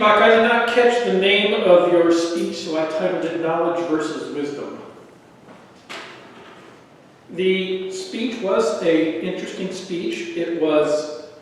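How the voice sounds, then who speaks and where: A man with a tenor voice evaluating a speech at a club meeting, measured and encouraging. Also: sits high. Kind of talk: spoken feedback. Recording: echoey hall from far off.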